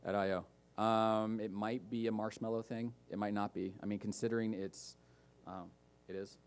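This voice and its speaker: A man's voice: lowish voice, normal speed, clear, resonant tone. A man speaking at a developer conference, talking casually about speech recognition and taking audience questions, with many fillers and asides. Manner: relaxed, lots of fillers and asides. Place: conference room.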